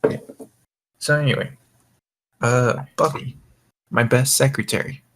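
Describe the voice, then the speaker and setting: A man's voice: mid-range voice, fairly even intonation, a little slow. A young man hosting a gaming voice call, rambling casually in a laid-back way and swearing often. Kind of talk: casual group chat, rambling. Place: online voice call.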